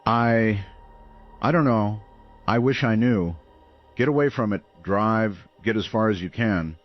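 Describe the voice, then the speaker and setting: An actor playing a shaken, helpless radio host broadcasting through a catastrophe in a grave, halting way. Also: male voice, on the low side, muffled recording, soft background music, natural voice. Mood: helpless urgency. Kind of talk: radio drama acting, live call-in broadcast.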